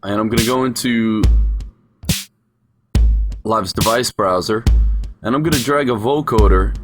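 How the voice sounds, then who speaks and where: Male tutorial instructor, lower-pitched voice, explanatory voice-over delivery with clear music underneath. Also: measured pace.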